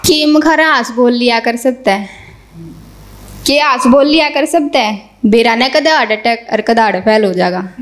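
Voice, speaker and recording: A woman's voice: a little high, normal speed, noisy background. A young woman reciting her poems at an open mic, in a rhythmic way and repeating each couplet, into a stand mic in a small indoor room. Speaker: poet.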